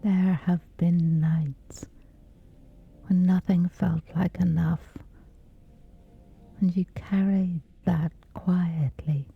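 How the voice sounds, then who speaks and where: A woman softly guiding a scripted sleep meditation in a breathy, gentle, soothing hush with long pauses. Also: fairly low pitch, fairly even intonation, narrow, tinny audio. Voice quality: breathy, whispery soft.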